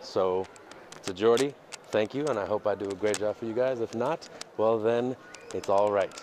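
A man's voice: lowish voice, moderate pace. A man in his thirties, a dance teacher, talks candidly in a walking vlog, reflective and hesitant, recorded on a headset mic outdoors. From United States. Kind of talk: casual walking vlog monologue. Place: city streets outdoors. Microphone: earphone headset mic, phone at arm's length. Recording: noisy outdoor street recording. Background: street ambience and passing vehicles.

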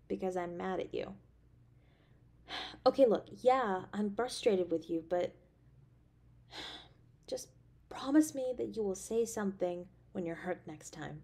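A woman's voice: medium pitch, creaky. A woman acting a tender, affectionate girlfriend. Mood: caring, coaxing. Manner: tender, expressive, with sighs and soft chuckles. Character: affectionate, anxious, playfully teasing girlfriend on a date. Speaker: voice actor in an audio roleplay. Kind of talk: intimate romantic audio roleplay acting.

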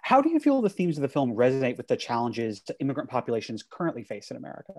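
Thirties man, moderator, quick delivery, dry close earbud-mic sound. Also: medium pitch, very animated, darkish tone, dry recording. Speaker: film programmer and moderator. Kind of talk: reading audience questions. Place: home room. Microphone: earbud headset on a video call.

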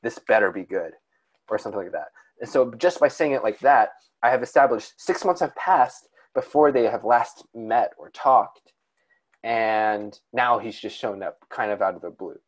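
A young man co-hosting a casual podcast, talking at length in a calm, soothing voice, often restarting his sentences. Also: lowish voice, moderate pace. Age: young adult. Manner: rambling, with many restarts and fillers. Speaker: podcast co-host. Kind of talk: casual chat.

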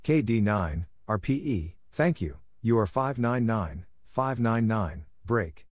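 A man's voice: lowish voice, expressive, slow, no echo. A man reading scripted radio exchanges and call signs as a practice narrator, in a clipped, word-by-word delivery.

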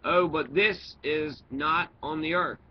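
A man's voice: a little high, takes it slowly. A man voicing a young storybook boy, innocent, polite and full of questions. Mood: thoughtful. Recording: dull lo-fi recording with noise.

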